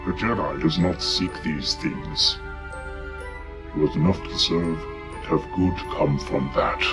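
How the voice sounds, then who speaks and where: Casting: male actor for wise, humble alien monk, low voice, solemn and measured, beneath loud music. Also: steady tone. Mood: reflective. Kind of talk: dramatic comic dub narration.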